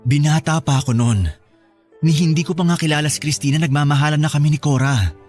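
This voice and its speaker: A man's voice: fairly high pitch, steady tone, brisk, darkish tone, soft background music, lightly acted. An actor with a Filipino accent playing a remorseful middle-aged father, imploring and earnest in a radio drama. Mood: guilty, pleading.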